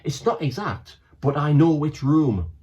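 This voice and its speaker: A brisk tech wizard delivers news in a clipped, matter-of-fact way, as a man voices him while reading a storybook aloud. He sounds busy and always sure of himself. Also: on the high side, sing-song, warm tone, muffled recording, voice pushed up.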